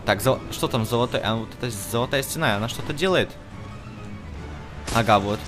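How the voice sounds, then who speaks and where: A man with a mid-pitched voice gives casual let's play commentary as a gaming video host. He thinks aloud and addresses the game characters directly, with music clearly playing behind him. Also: brisk.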